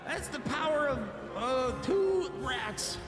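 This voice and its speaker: A man's voice: very high-pitched, animated, takes it slowly, long reverb tail, over music, exaggerated voice. A man voicing a squawky, brash, scheming cartoon duck king in an improvised comedic fandub. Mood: boastful.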